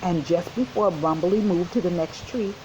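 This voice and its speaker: A woman's voice: fairly low pitch, moderate pace, background noise. A woman school counselor reading a storybook to children and explaining it in an instructive way. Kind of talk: children's storybook read-aloud with commentary.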